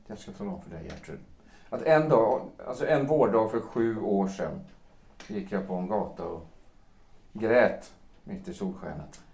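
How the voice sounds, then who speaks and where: An actor playing a melancholy, self-pitying writer of fifty in an audio drama, speaking haltingly and broodingly. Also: male voice, lowish voice, lively intonation, a little mellow. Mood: wounded, yearning. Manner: halting, brooding delivery with repeated words. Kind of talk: stage-play audio drama acting. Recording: roomy, echoing sound.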